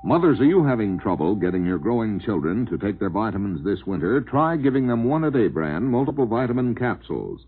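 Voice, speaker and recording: A man's voice: fairly low pitch, big pitch swings, brisk, hardly any pauses. A man reading a 1940s radio advertisement in a persuasive, emphatic way. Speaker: commercial announcer. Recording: thin, phone-like vintage 1940s radio recording.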